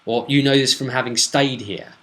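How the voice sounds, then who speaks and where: A man's voice: medium pitch, fairly even intonation, moderate pace, a bit bright. A British man giving a casual, rambling recap as a game master, often digressing to explain and quote his characters. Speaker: game master recapping a role-play session.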